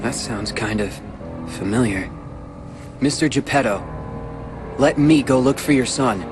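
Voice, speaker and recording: An earnest, reserved teenage hero from a video game, acted by a man. He talks tersely and slowly at a middling pitch, with music clearly behind him. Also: natural voice. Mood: determined.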